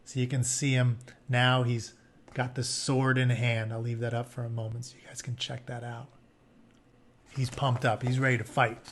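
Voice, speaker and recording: A man's voice: mid-range voice, level tone, normal speed, a little hiss or hum. A man reading a story aloud to young listeners, dropping into informal, explanatory asides. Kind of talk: expressive read-aloud with casual explanatory asides.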